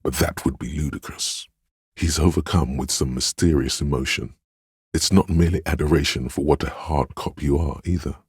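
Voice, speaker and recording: Super low husky game narrator, gentle inner empathy voice, solemn n intimate, real dry close sound. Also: male voice, no room sound, exaggerated voice. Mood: tender, perceptive. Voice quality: very deep, gravelly, husky. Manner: measured, intimate, solemn, literary delivery. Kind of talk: dramatic scripted narration in a role-playing game.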